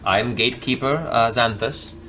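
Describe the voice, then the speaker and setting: Fantasy game gatekeeper, played by a man: bureaucratic, flustered, formal and halting, over faint background noise. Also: medium pitch, bright, forceful tone, close to an everyday voice. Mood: flustered, officious. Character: flustered, officious male night gatekeeper at a lyceum.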